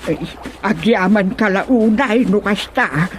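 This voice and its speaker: Woman playing an anxious villager, medium pitch, exclamatory, radio drama acting, water noise behind. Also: slow, natural voice. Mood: worried. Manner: fretful, exclamatory. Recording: background noise, water ambience.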